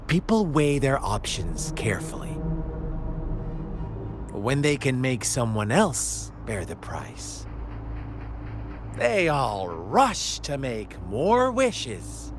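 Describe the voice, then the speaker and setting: A man playing a sly, unhinged game villain who tells a dark tale in a theatrical, taunting, drawn-out way. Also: mid-range voice, sing-song, faint music behind. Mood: bitter, mocking. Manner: theatrical, taunting, drawn-out delivery with sudden swoops. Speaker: villain character in a video game. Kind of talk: dramatic storytelling monologue in a game.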